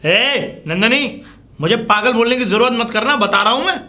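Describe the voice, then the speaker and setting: A man's voice: very high voice, lively intonation, moderate pace, pushed and bright, heavily acted. A man playing a hot-tempered, domineering husband in an amateur family drama, scolding and confrontational. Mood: angry, scolding.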